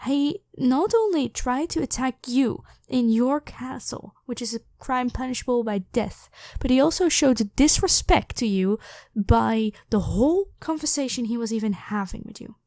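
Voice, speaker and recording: A woman's voice: a little high, animated, moderate pace, a little mellow, no echo. A woman voicing a devoted, protective royal knight, head of the guard, with formal, deferential speech in a scripted ASMR roleplay. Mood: firm, indignant. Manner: formal, deferential address to a monarch.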